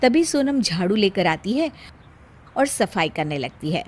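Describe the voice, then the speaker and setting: A woman's voice: medium pitch, very animated, measured pace. A woman narrating a scripted story in the role of storyteller.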